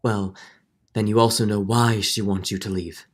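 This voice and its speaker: A man's voice: on the low side, little pitch movement, normal speed, close to an everyday voice. A man playing a middle-aged judge, earnest and guilt-ridden, in a dramatic 1940s radio drama style with hesitant turns. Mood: hesitant, yearning. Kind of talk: 1940s radio drama acting with first-person narration.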